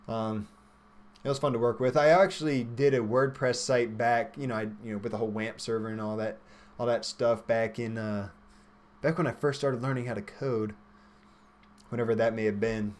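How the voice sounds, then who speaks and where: Casual rambling young man answering stream questions. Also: medium pitch. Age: 20s. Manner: informal, rambling, thinks aloud. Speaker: livestream host, software developer. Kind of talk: casual livestream Q&A chat, reading and answering viewer questions.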